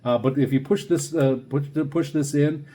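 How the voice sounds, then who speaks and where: An older man giving a casual, unscripted product review, halting and rambling as he repeats words. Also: a little high, dark tone. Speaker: radio reviewer presenting a video series.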